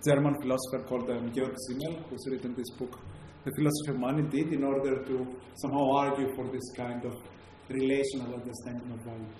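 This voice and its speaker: A man's voice: medium pitch, steady tone, quick pace, hardly any pauses, warm tone. A man with a Greek accent giving an academic talk to a live audience, hesitant, with many fillers and restarts. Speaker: lecturer. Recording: very echoey room, faint background noise.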